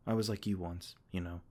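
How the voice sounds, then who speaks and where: Brief: a low-voiced, tender fae king played by a man, soothing and unhurried in his kindness. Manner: soft-spoken, reassuring, with small laughs. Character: gentle, protective male fae king. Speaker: ASMR roleplay performer. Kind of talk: ASMR comfort roleplay.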